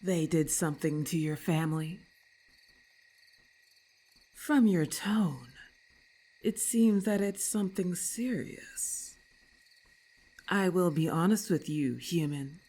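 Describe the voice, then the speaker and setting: A woman's voice: fairly low pitch, expressive, slow pace, pauses often, darkish tone. A woman voicing a haughty, irritable lamia in an ASMR roleplay, with hissing, imperious and formal speech, scornful and menacing. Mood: intrigued.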